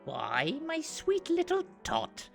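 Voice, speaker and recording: Male narrator as mean old storybook creature, sly, gloating, mock-sweet, drawn-out slow delivery, music behind. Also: extremely high pitch, wildly swooping pitch, vocal fry, fairly bright tone, pitched above the performer's own voice, heavily acted. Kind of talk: animated storybook read-aloud.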